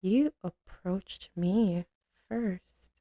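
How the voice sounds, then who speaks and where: A woman's voice: mid-range voice, drawn-out words, mellow, no room sound. A woman playing a tough, commanding mafia boss who turns tender and teasing with her boyfriend, in an intimate ASMR roleplay with streetwise talk. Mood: affectionate, reminiscing. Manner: drawling, teasing, streetwise, with pet names.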